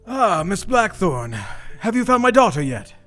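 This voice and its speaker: A man's voice: high voice, very animated, a bit bright, faint music behind, exaggerated voice. An actor playing a formal, guarded, well-to-do father hiring a detective in a noir radio play. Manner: formal, measured.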